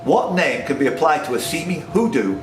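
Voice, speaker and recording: A man's voice: on the high side, very animated, fairly quick, music in the background. A man hosting a quiz, reading out questions to players and dropping in jokey asides. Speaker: quiz host and question setter. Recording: noisy, echoey room recording.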